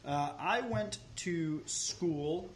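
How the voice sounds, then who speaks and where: A man in his thirties from Long Island, hosting a podcast and telling deadpan tall tales in casual chat. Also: a little high, drawn-out words, a little hiss or hum. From Long Island, New York. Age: 30s. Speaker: podcast host.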